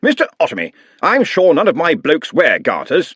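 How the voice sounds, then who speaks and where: A man's voice: a little high, huge pitch swings, normal speed, a bit bright, slightly rough, no echo, voice pushed up, full character voice. A man voicing an older, bossy wizard headmaster who speaks bluntly and self-assuredly. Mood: blustering.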